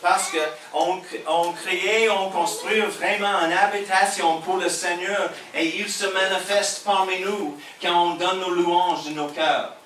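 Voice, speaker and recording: A man with an American accent mixed with a Québécois French one, a pastor preaching a sermon in halting French with many fillers and light jokes. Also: high voice, fairly even intonation, fairly bright tone, a little hiss or hum. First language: English. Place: church hall. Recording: echoey hall heard from a distance.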